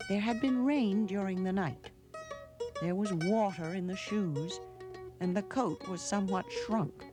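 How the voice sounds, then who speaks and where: A woman reading a children's storybook in a measured, expressive storytelling manner. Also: fairly low pitch. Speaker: storybook narrator. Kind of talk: scripted children's storybook narration.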